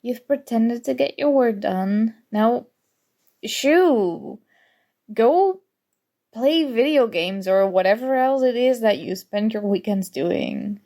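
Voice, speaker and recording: Woman doing a snarky college girl academic rival, asmr roleplay, slow and teasing, kinda high voice w lots of fry. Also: animated, mellow. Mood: sarcastic dismissive. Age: young adult. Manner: sarcastic, teasing, exasperated. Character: snarky competitive college student, grudgingly fond academic rival. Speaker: audio roleplay voice actor.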